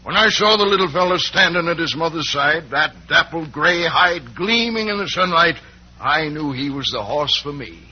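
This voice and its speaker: An actor playing a boastful rancher villain in a folksy, proud way, in the style of a 1940s radio western. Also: male voice, a little high, animated, moderate pace, breathy edge. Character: smooth, boastful rancher horse thief, adult male villain. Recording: muffled, noisy old radio recording.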